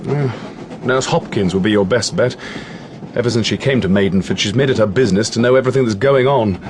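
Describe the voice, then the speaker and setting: An actor playing an earnest, protective village doctor with a British accent, speaking in an educated, emphatic, matter-of-fact way in a radio drama. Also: male voice, on the low side, brisk, noisy background, natural voice. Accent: British English.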